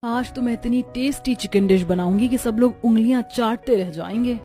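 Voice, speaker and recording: A woman's voice: fairly high pitch, brisk, dark tone, soft background music, natural voice. A woman voicing a spoiled, scheming young daughter-in-law in a cartoon, sneering and whiny. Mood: sweet. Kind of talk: Hindi cartoon voice acting.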